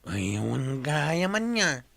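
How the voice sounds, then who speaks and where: Audio drama part: actress as casual, forgetful young relative, Ilocano accent, low pitch. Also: female voice, sing-song, takes it slowly, creaky, a bit bright, dry recording, full character voice. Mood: searching.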